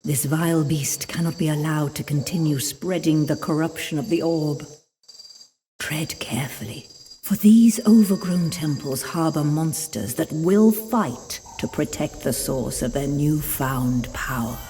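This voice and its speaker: A woman's voice: low voice, unhurried, warm tone, a little hiss or hum. A woman narrating a fantasy game cutscene in a grave, portentous, dramatic storytelling style.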